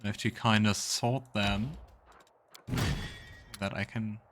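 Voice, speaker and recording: A man's voice: fairly low pitch, fairly even intonation, slow pace, a bit bright, slight background noise. A man giving casual let's play commentary on a video game, musing aloud to himself as he goes. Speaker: streamer playing and commenting on a game.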